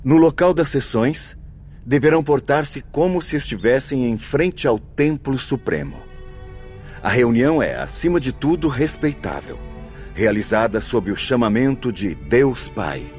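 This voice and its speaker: A man's voice: medium pitch, very animated, a little slow, darkish tone. A man with a Brazilian accent acting a courteous, reverent spirit guide in a radio drama, explaining things formally and earnestly. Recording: music under the voice.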